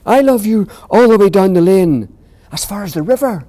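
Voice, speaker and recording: A man voicing a small, eager young male hare who loves his father, speaking in a high, excited voice. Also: moderate pace, exaggerated voice. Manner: high excited squeaky delivery.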